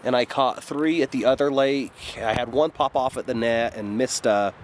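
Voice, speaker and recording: A man in his thirties vlogging outdoors by a mountain lake in a relaxed, chatty way with plenty of fillers, recorded on an action camera. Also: mid-range voice, normal speed. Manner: relaxed, chatty, lots of fillers, excited exclamations. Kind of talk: casual self-filmed narration. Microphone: action camera on body or at arm's length. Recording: wind noise outdoors.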